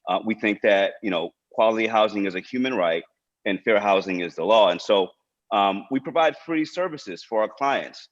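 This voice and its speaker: A man's voice: fairly low pitch, expressive, normal speed. A man speaking as a nonprofit director, giving informal prepared remarks to an online panel over a video call. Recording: dull, lo-fi video call audio.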